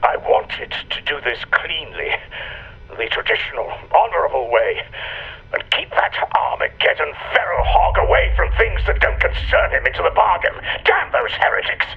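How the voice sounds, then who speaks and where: An actor playing an irascible, haughty old military commander with a theatrical, explosive manner in a game cinematic. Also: male voice, on the high side, sing-song, normal speed, vocal fry, breathy edge, dull audio, exaggerated voice. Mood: bitter, angry. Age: older.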